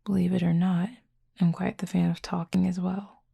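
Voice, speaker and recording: A woman voicing a flirty, caring female werewolf in an intimate audio roleplay, in a hushed, unhurried, teasing but gentle murmur. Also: lowish voice, little pitch movement, no room sound, studio quality, exaggerated voice. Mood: reassuring, teasing. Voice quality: breathy, husky, fry-laden murmur.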